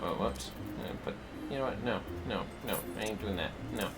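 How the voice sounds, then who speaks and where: A man's voice: lowish voice, moderate pace, heavy background noise. A man giving casual, playful livestream commentary on a video game, muttering asides to himself.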